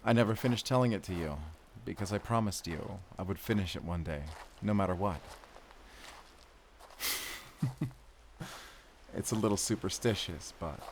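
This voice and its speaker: Man acting a deep-voiced, tender hunter. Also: a little hiss or hum. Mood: wistful, nostalgic. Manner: halting, soft sighs, wistful and sincere. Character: tender werewolf hunter confessing love, weary and devoted. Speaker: voice actor in an audio roleplay. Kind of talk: intimate romantic audio roleplay acting.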